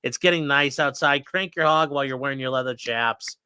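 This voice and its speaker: A man's voice: medium pitch, a little fast, sharp tone, smooth, dry recording, clean studio sound. A man hosting a livestream, joking through sponsor reads and giving blunt, sarcastic opinions. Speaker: livestream host, defense attorney. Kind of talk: casual livestream commentary.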